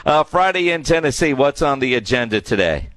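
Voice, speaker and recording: A man's voice: medium pitch, lively intonation, brisk, a bit bright. A man hosting a sports talk radio show in a casual, conversational style. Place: remote broadcast from a stadium.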